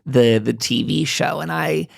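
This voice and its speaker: A man's voice: medium pitch, a little slow, vocal fry, slightly rough, no room sound, studio quality. A man hosting a gaming roundtable in a chatty, enthusiastic way, rambling off into asides. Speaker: host of a gaming roundtable show. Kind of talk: casual panel discussion.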